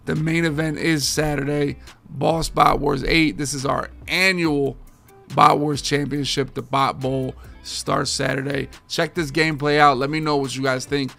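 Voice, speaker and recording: A man in his thirties, a gaming streamer talking casually and energetically to his viewers, his voice a bit hoarse from overuse. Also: fairly high pitch, a little slow, faint music behind. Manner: energetic, slangy, addresses viewers as boys and guys. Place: home game room with framed pictures.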